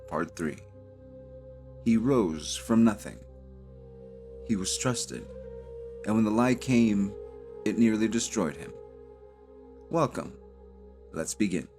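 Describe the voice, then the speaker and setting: A man narrating a cinematic audio drama in a solemn, dramatic manner with deliberate pauses. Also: fairly low pitch, animated, vocal fry, darkish tone, faint music behind. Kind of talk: slow cinematic scripted narration.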